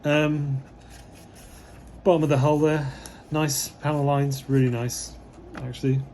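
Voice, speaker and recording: A slightly hoarse man, losing his voice, giving relaxed, rambling commentary as he unboxes a model kit, with many fillers. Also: a little high, a little slow, slight background noise, rough recording.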